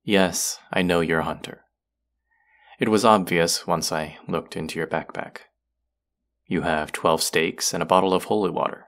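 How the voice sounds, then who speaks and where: A man's voice: deep voice, steady tone, moderate pace, fairly bright tone. A young man playing an irritable, predatory vampire college student in an intimate audio roleplay, curt, sarcastic and condescending. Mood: smug, cold.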